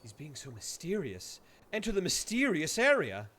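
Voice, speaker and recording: Lively, higher-pitched man streaming games. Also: moderate pace. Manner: playful, rambling, dry asides. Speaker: live game streamer. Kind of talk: casual live-stream commentary. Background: music.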